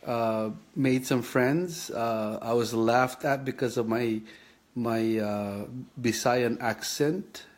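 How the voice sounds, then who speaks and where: A man, recalling his childhood in a reflective personal vlog, thinking aloud with many pauses and restarts. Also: mid-range voice. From Philippines. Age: 50s. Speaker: vlogger sharing his kidney story.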